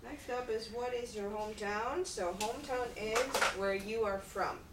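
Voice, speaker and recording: Patient woman teaching English in echoey classroom. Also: on the high side. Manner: slow, patient, repeats phrases for learners. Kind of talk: classroom instruction. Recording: echoey room, far mic, noisy.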